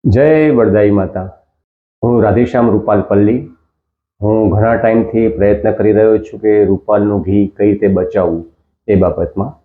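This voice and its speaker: Low-voiced man narrating a demo. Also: fairly even intonation. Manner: slow, explanatory, walking through a demo. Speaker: host. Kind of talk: narrated screen demonstration. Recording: tinny phone-like sound.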